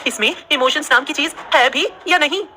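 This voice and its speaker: A woman voicing a jittery, complaining young woman who stammers and turns shrill when frightened. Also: fast talker, bright, forceful tone, faint music behind. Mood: muttering outraged.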